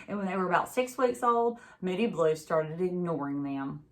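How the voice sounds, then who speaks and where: Woman reading a kids novel out loud, expressive, voice a bit on the low side. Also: normal speed. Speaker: teacher reading a novel aloud. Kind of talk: expressive storybook read-aloud narration.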